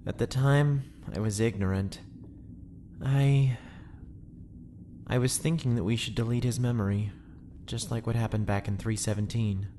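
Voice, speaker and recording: A woman playing a weary, conflicted male ship administrator in a sci-fi drama, speaking earnestly and haltingly. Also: rumbling low, fairly even intonation, dark tone, slight background noise. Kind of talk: sci-fi audio drama acting.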